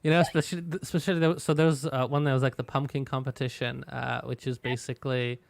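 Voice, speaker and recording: Male host of a casual company stream, relaxed and chatty, smooth tone, dry close sound. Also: medium pitch, fast talker, no echo. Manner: relaxed, chatty, laughs easily.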